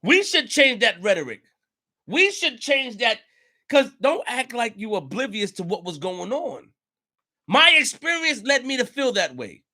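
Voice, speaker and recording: Fired-up New man, high and bright. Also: sing-song, a little slow. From New York. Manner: emphatic, repetitive, rising to shouts. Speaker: show host. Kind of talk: impassioned commentary monologue.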